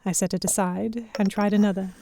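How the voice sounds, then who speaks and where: A young, observant heroine played by a woman tells a pirate tale in the first person. Her voice is mellow and medium in pitch. The delivery is measured, literary scripted narration for an audio drama. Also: steady tone, vocal fry, slight background noise, close to an everyday voice. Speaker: narrator and lead actor.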